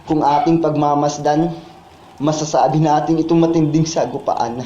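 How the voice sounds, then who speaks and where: A man's voice: a little high, fairly even intonation, moderate pace, dark tone. A man with a Filipino accent reciting spoken word poetry in a solemn, impassioned, declamatory manner. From Philippines. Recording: muffled, echoey, with background noise.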